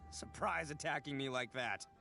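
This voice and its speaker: A man's voice: mid-range voice, normal speed, creaky, bright, forceful tone, faint music behind. A man voicing a boisterous young male oni gang boss in a video game, brash and blustering and prone to stammering when flustered. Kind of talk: animated video game voice acting.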